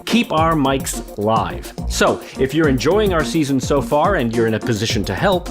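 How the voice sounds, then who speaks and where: A woman's voice: very deep voice, sing-song, normal speed. A woman reading a scripted donation appeal. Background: music.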